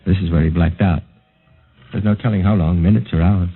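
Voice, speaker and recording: An actor playing a commanding, clinical scientist in a mid-century radio drama, questioning in a measured, authoritative and deliberate way. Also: male voice, deep voice, steady tone. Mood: tense, intent. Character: commanding male scientist, clinical and probing interrogator. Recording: tinny narrow-band vintage radio broadcast, music.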